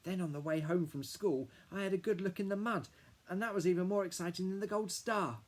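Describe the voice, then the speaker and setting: A man voicing an excitable, mischievous little boy with a high, squeaky voice. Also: fairly quick. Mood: excited.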